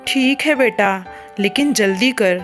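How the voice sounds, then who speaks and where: A woman's voice: mid-range voice, takes it slowly, fairly bright tone, faint music behind. A woman voicing a caring, shrewd mother bird in a children's cartoon, motherly and earnest. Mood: urgent, cautioning. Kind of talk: Hindi cartoon character acting.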